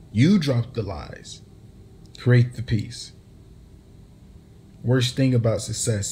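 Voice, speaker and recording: A man delivering a spoken-word poetry monologue in a dramatic, deliberate manner with pauses between phrases. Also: medium pitch, mellow, a little hiss or hum. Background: plucked guitar music.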